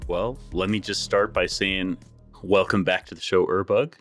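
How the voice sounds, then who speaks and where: Very deep-voiced male podcast host. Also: fairly even intonation, moderate pace, a bit bright, faint music behind. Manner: dramatic, suspenseful storytelling. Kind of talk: scripted narration intro, then interview.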